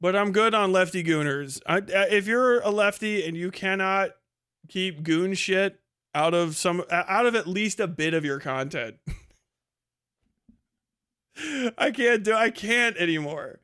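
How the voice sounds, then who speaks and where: High-pitched, clear-voiced male streamer. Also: measured pace, pushed and bright. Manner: heavily profane, exasperated, incredulous. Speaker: livestream host. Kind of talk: casual livestream commentary.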